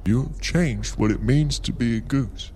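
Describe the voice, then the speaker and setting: A man's voice: medium pitch, a little slow, mellow, lightly acted. A man playing an earnest, pleading coworker with emphatic, melodramatic delivery in an audio comedy sketch. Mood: earnest, emotional. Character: earnest, pleading male coworker in absurd goose factory.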